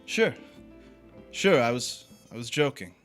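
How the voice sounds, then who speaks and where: A man's voice: mid-range voice, expressive, a little slow, a bit bright, faint music behind. A man playing a restless, smitten drifter in a crime drama, terse and uneasy. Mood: grim, uneasy. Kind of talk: crime drama acting with first-person narration.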